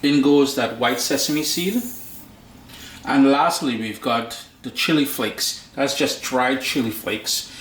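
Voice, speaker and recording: Relaxed Trinidadian man in an echoey kitchen. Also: mid-range voice, takes it slowly, a little hiss or hum. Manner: relaxed, chatty, slangy asides. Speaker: cooking show host. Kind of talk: casual cooking tutorial.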